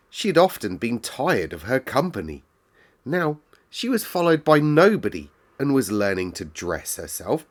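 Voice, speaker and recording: Male storybook narrator, lower pitch, measured and expressive read. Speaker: storyteller reading aloud.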